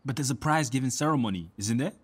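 Radio soap man: husky, mid-pitched, stubborn and flippant. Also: lively intonation, racing.

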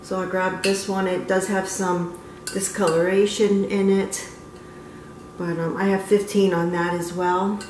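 A woman's voice: medium pitch, flat delivery, measured pace, a little mellow, slight background noise. A woman in her fifties shows her antique booth items, chatting casually with frequent ums and self-corrections. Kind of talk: casual show-and-tell vlog. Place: living room, then car and antique mall.

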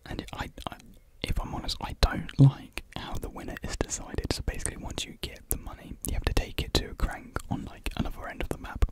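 A British man in his twenties whispering a casual ASMR ramble softly, close to a desktop microphone in a small room. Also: fairly quick, few pauses. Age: 20s. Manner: soft unvoiced whispering. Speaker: ASMR video creator. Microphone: close desktop USB microphone.

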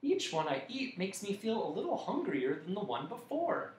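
A man voicing a doubtful, rather sad young boy in a storybook, quiet and hesitant. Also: high-pitched, wildly swooping pitch, moderate pace, a little hiss or hum, voice pushed up. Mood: puzzled. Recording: echoey room.

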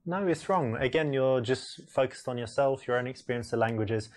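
An actor playing a confident dating coach who corrects the player in a firm, instructive way. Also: male voice, mid-range voice, normal speed, close to an everyday voice. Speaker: dating coach narrator in a game.

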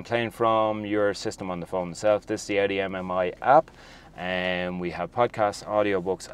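A deep-voiced man talks viewers through a car's screen menus. He sounds chatty and upbeat, calling the audience folks. He is recorded inside a parked car. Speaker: car reviewer. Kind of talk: casual video walkthrough.

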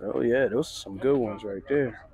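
A middle-aged man gives relaxed, chatty walking commentary at an outdoor flea market, recorded on a handheld phone. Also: mid-range voice, normal speed, vocal fry, muffled recording. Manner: relaxed, chatty, pointing things out. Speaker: vlogger. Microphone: handheld phone camera.

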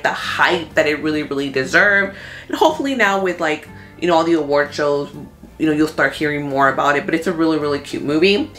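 A woman in her thirties talking casually as a movie vlogger, thinking out loud in an informal, conversational way. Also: deep voice. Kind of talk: casual chatty commentary. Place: small room at home. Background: background music.

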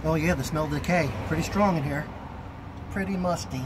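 Man vlogging over loud outdoor noise. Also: fairly high pitch, a little fast. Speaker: vlogger, explorer. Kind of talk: casual off-the-cuff vlog narration. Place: outdoors by a roadside building. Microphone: handheld camera phone.

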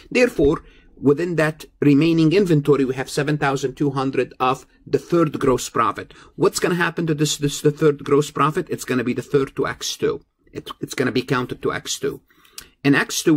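Husky-voiced man teaching accounting. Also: medium pitch. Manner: explanatory, repeats phrases for emphasis. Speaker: accounting lecturer. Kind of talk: instructional screencast lecture.

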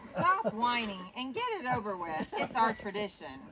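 A woman's voice: on the high side, very animated, moderate pace, a loud crowd. A woman voicing a bossy, teasing puppet who prods and scolds in a noisy live comic puppet show. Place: live talent show, recorded from the audience.

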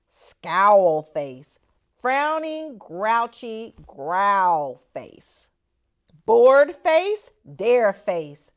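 A middle-aged woman reading a children's picture book aloud in a deliberate, emphatic, theatrical way. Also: on the high side.

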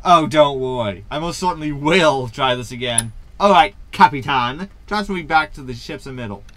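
A man's voice: medium pitch, animated, moderate pace, sharp tone. A man playing a smug, mischievous hologram in a sci-fi comedy audio drama, glib and mocking. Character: smug, cheeky male hologram, mischievous con artist. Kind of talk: science fiction sitcom audio drama.

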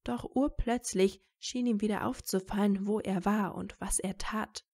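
Female narrator, mid-range pitch, scripted storytelling for audio fiction. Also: steady tone, measured pace, warm tone.

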